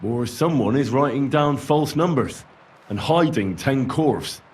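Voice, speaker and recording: An actor playing a young medieval man calmly and firmly questioning suspects in a video game. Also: mid-range voice, unhurried, close to an everyday voice. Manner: calm, firm interrogating.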